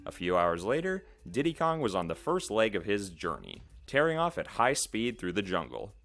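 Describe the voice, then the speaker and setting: A man's voice: lowish voice, wildly swooping pitch, moderate pace, creaky, fairly bright tone, soft background music. A man narrating a story in a dramatic storybook style.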